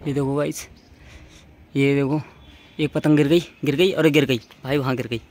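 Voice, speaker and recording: A man's voice: mid-range voice, fairly even intonation, moderate pace, darkish tone. Young man, noisy outdoor vlog. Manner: informal, addresses viewers as friends, repeats phrases. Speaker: vlogger. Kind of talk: casual running vlog commentary. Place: outdoors on open rooftops. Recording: noisy open-air handheld recording.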